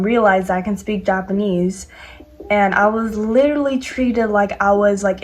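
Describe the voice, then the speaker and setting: A teenage girl from the United States chatting casually to camera, with lots of "like" and "um" fillers. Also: mid-range voice. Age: teens. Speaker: vlogger. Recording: faint background music.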